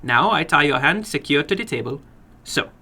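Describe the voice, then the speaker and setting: A man voicing a funny, sinister little foreign gambler in a horror story, brisk and courteous, with precise, stilted non-native phrasing. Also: a little high, expressive, bright, forceful tone, husky, heavily acted. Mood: precise, commanding. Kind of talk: dramatic horror story reading.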